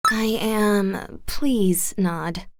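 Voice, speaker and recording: An actress playing a singer who talks in a soft, placating, pleading way, torn between her husband and an old friend. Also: mid-range voice, steady tone, very slow, no room sound, clean studio sound.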